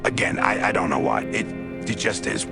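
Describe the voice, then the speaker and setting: Gravelly low-voiced wry stalker. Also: male voice, very animated, normal speed, exaggerated voice. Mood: wry, brooding. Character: gruff male rookie stalker, wry and world-weary, narrating. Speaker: player character and narrator. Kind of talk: cinematic game voiceover, first-person narration. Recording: loud music under the voice. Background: loud music.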